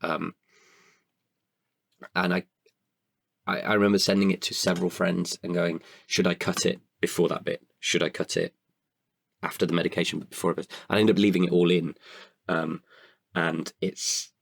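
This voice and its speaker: A man with a creaky voice in a candid podcast conversation, speaking hesitantly and often correcting himself. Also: low-pitched, little pitch movement. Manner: hesitant, halting with self-corrections. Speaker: writer-performer guest.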